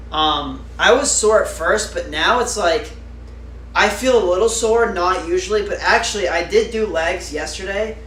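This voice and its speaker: A young man with a slightly higher voice chats loosely with his live-stream audience, trailing off into fillers. He sits back from the mic in a roomy, echoing space with a faint hiss underneath. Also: a bit bright, distant mic. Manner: chatty, rambling with fillers, answering viewers. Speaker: livestreamer. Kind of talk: casual live-stream chat.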